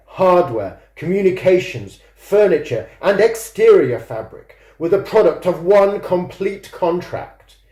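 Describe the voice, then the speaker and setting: A man reads literary fiction as an author presenting his own work. His delivery is slow and expressive, with lively swings in pitch and a mellow tone. The sound is roomy and echoing. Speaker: author introducing and reading own book. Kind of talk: scripted introduction and literary story reading.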